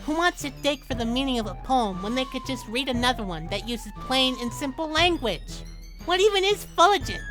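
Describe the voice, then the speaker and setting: A woman's voice: high-pitched, lively intonation, normal speed. A woman playing a blunt, scornful, hot-tempered teenage boy in an audio drama. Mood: combative. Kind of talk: visual novel audio drama acting. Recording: music behind the voice.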